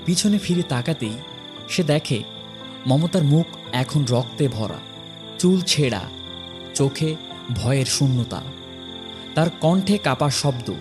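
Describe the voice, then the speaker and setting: A man narrating a horror story from a script, dramatically, in short phrases with pauses. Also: a little high, lively intonation, music in the background.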